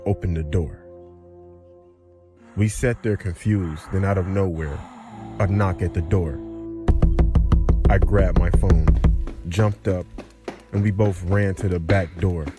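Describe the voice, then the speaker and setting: A man's voice: deep voice, fairly even intonation, measured pace, pauses often, loud music behind the voice. A man narrating a scripted horror story.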